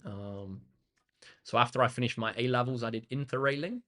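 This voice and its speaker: This is a young man from England who runs a maths livestream. He answers viewers' comments in a relaxed, friendly way, often pausing with um and uh. His voice sits at a middle pitch for a man, and he is talking indoors in a room with a big window. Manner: relaxed and chatty, with frequent ums and uhs. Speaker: maths tutor hosting a livestream. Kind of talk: casual chat answering live comments.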